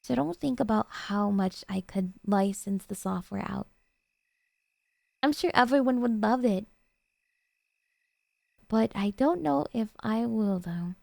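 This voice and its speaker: A woman playing a doting, jealous yandere girlfriend in an ASMR roleplay, coaxing and sweetly earnest with hesitant pauses. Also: medium pitch, expressive, vocal fry, darkish tone, no room sound, exaggerated voice. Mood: earnest, possessive.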